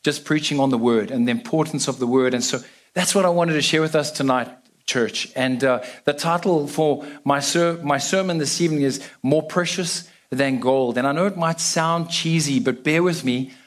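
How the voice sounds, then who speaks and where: A man's voice: on the high side, moderate pace. A young South African man giving a casual, joking sermon to a church congregation. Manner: casual, self-deprecating, chatty with fillers. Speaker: guest preacher.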